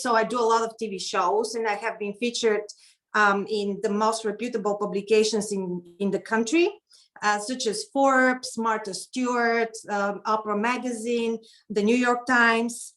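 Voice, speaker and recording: A middle-aged woman with a Bulgarian accent introduces herself as a guest speaker on a video call, in a slightly halting way. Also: on the high side, a bit bright. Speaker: guest keynote speaker and etiquette consultant. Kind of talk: informal self-introduction speech.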